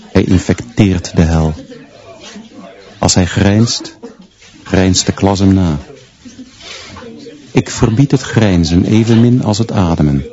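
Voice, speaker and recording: Stern schoolmaster narrating a radio drama, deep and dark voice, clipped grim deadpan delivery. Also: male voice, dull audio. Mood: stern, detached. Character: stern male schoolteacher narrating his monstrous pupils grimly. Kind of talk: literary radio play narration.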